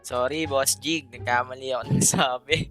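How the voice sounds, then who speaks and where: A man's voice: medium pitch, steady tone, moderate pace. A Filipino man doing a casual, playful vlog voice-over, teasing and chatting straight to his viewers. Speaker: motovlogger narrating own trip. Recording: music behind the voice-over.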